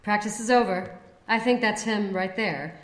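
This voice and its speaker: A woman playing a brisk, assertive detective's partner in an audio drama. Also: mid-range voice, natural voice. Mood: brisk, probing. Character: brisk female detective's partner, bossy and alert. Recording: very noisy ambience.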